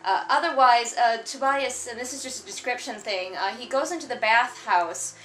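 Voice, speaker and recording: A woman's voice: a little high, normal speed, a bit bright, echoey room, a little hiss or hum, rough recording. A woman giving casual comedic book commentary in a sarcastic, exasperated, conversational way. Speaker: host of a book read-along.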